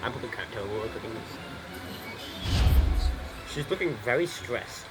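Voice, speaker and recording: A man's voice: mid-range voice, expressive, brisk, rough recording. A young man casually commenting on his gameplay, rambling, swearing and repeating himself. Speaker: gameplay streamer. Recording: game music playing behind.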